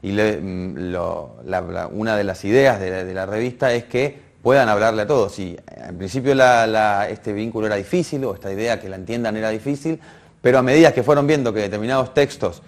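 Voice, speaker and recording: An Argentine man answering informally in an interview, haltingly and often rephrasing as he goes. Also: lowish voice.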